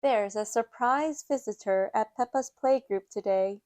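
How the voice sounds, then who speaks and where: A woman reading a children's picture book aloud with a measured storytelling delivery. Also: a little high, darkish tone, dry recording. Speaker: storytime reader.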